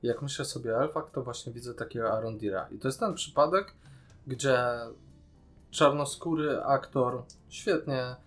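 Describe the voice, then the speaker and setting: A man's voice: medium pitch, moderate pace, soft background music. Male podcast co-host. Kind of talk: casual opinion discussion.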